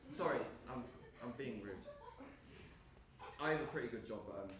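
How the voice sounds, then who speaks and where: A man's voice: mid-range voice, normal speed, natural voice. A young man acting on stage as an awkward, eager, lonely gamer, rambling and apologising nervously. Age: young adult. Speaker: actor in a stage play. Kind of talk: live stage acting, naturalistic dialogue. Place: indoor stage performance space. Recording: echoey room from far off, background noise.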